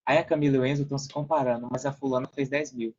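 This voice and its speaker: A man's voice: medium pitch, steady tone, rapid-fire, darkish tone, lo-fi sound. A man with a Brazilian accent explaining things casually as a podcast guest, acting out hypothetical quotes as he goes.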